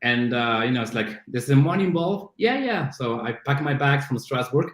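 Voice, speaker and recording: A man's voice: medium pitch, big pitch swings, moderate pace. Argentine guy, spanish is his first language, chatty podcast guest, lots of stops and restarts, echoey room. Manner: self-deprecating, many restarts and fillers. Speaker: guest, CrossFit coach. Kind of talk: casual interview chat. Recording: roomy echo.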